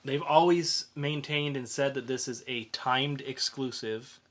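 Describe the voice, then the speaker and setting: A man's voice: medium pitch, fairly even intonation, a little slow, fairly bright tone. A man co-hosting a podcast, chatting casually about pop-culture news and freely offering opinions. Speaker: podcast co-host.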